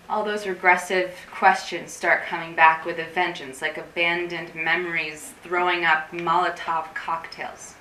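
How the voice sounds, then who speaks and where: A woman's voice: fairly low pitch, bright, forceful tone. An actress playing an anxious, intense woman in a staged play reading, speaking in a wordy, confessional, dramatic way. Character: anxious, intense woman craving digital disconnection. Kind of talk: theatrical staged reading, monologue-like dialogue. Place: room at a live staged reading. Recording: roomy, distant mic, faint noise.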